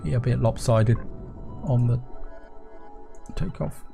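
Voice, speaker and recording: Brief: male gameplay host, croaky with a cold, relaxed chatty commentary, middle pitch. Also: takes it slowly. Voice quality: croaky, gravelly voice. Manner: chatty, addresses viewers as guys. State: hoarse, sore throat. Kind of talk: casual let's-play commentary. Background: game music.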